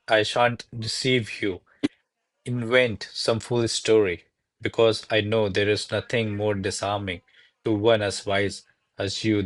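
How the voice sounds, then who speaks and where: Man as smooth-talking deferential servant for a classical radio drama: lowish voice, florid courtly speech, dry sound. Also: dry recording, close to an everyday voice. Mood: earnest, pleading. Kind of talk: stage-style radio play acting of classical verse.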